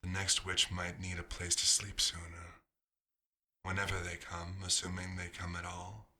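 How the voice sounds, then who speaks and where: A quiet, nostalgic vampire doctor, played by a man in an ASMR roleplay. His voice sits very low and stays nearly flat, with a very sharp, bright edge. He murmurs to himself without hurry, fussy and gently wistful. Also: exaggerated voice. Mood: wistful, resigned. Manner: unhurried, murmuring to himself with pauses.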